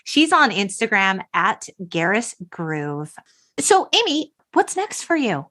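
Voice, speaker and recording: Bright, effusive female podcast host. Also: medium pitch, wildly swooping pitch, slow. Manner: effusive, emphatic reactions. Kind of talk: casual chat interview.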